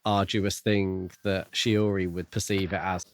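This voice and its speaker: Lower-voiced man musing in book chat. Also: flat delivery, a little slow, dry recording. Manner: speculative, musing. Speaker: podcast co-host. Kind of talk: casual book discussion.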